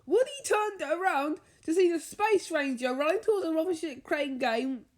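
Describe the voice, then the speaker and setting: A woman reading a children's bedtime story aloud, haltingly, stumbling over and repeating words as she goes. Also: extremely high pitch. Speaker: storybook reader.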